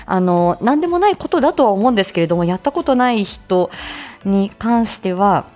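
A woman's voice: mid-range voice, lively intonation, brisk, a little mellow, faint music behind. A woman hosting a casual live audio show, chatting with and greeting listeners and laughing often as she talks. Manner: laughs often while talking.

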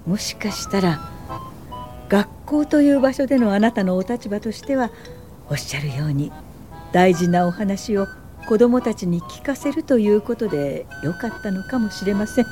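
A woman playing a composed, politely firm older welfare pioneer in a radio drama, with measured narration and dialogue. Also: medium pitch, animated, mellow, soft background music, close to an everyday voice. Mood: gently firm.

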